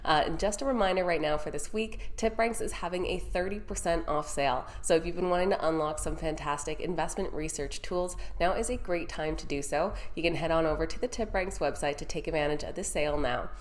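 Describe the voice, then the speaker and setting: A young woman with a slightly low voice, audibly congested and nasal from a sinus infection. She hosts a scripted stock market rundown in an upbeat way, speaking straight to her viewers. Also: a little fast, hardly any pauses. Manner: upbeat, direct address to viewers. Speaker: stock market video host. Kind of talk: scripted market news presenting.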